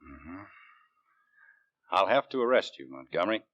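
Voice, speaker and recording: An American man playing a world-weary police captain in a 1940s radio drama, narrating and questioning in a measured, dry way. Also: low voice, sing-song, fairly bright tone, dry recording, full character voice. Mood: probing, controlled. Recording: dull restored 1940s radio recording, music.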